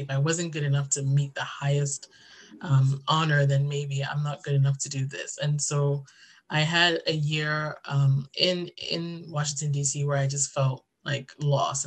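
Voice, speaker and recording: A woman, telling her personal story earnestly as an interview guest. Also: low voice. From Ghana. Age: 30s.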